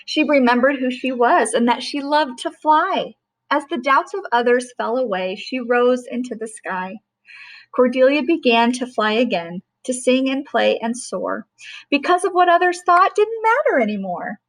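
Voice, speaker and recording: Woman, middle-aged, high-pitched, reads rhyming picture book gently and rhythmically. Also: expressive, normal speed, low-quality recording. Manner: gentle, sing-song rhyming reading. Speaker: teacher reading a bedtime story. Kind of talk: storybook read-aloud.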